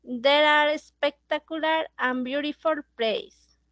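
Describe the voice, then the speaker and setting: Woman in her 30s, high voice, reads her english class talk real slow, dry sound. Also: steady tone, a bit bright, no room sound. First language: Spanish. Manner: halting, reads slowly with fillers. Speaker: English learner. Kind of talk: reading a class presentation.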